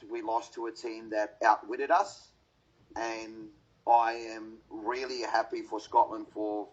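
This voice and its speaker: Husky male voice, Australian accent, heartfelt, halting, emotional podcast talk, dull lo-fi call. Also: medium pitch. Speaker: podcast panelist.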